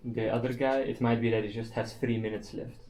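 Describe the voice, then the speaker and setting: A man's voice: mid-range voice, little pitch movement, a little fast, a little mellow. A man on a live stream's crew, speaking casually and practically as he explains things.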